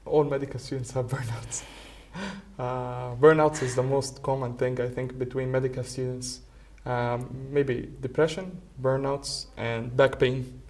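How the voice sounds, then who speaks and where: A man's voice: medium pitch, flat delivery, measured pace, darkish tone. A young man whose first language is Arabic, talking casually as a podcast guest and hesitating often with fillers. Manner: hesitant, many filler uhs. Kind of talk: casual conversation. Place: podcast studio.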